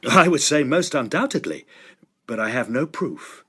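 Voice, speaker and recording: Earnest young Victorian student, acted by a man, animated. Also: mid-range voice, moderate pace, slightly rough. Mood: uneasy, confiding. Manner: emphatic, old-fashioned turns of phrase, some hesitant stammering. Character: earnest, blunt, indignant young male Victorian Oxford student. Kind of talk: period audio drama acting.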